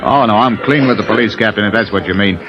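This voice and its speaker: An actor playing a steady, scheming seaman in his thirties, terse and matter-of-fact, in 1950s radio drama style. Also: fairly low pitch, fast talker, natural voice. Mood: calm, persistent. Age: 30s. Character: steady, scheming thirty-four-year-old seaman, tough gang leader. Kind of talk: 1950s radio drama acting and first-person narration. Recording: dull old radio recording with music.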